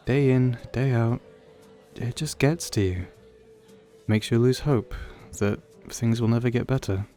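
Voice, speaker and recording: A man's voice: medium pitch, mellow, lightly acted. A man playing an awkward, wistful young old friend in an audio roleplay, talking hesitantly and rambling in a self-deprecating way. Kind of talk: audio roleplay, conversational acting addressed to listener.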